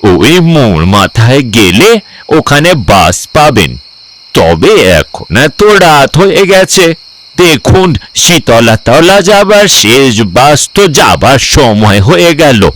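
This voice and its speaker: A man's voice: medium pitch, big pitch swings, slow, a bit bright, dry recording. A man playing a concerned older village local in a horror cartoon, exclaiming and advising. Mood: cautioning. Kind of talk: dramatised horror cartoon dialogue.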